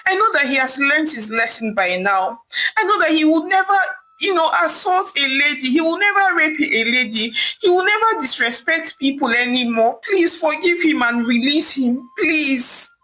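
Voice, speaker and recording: A woman voicing a distraught, wealthy mother who pleads and weeps in a high, wailing voice. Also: animated, moderate pace, bright, forceful tone, slight background noise. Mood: begging.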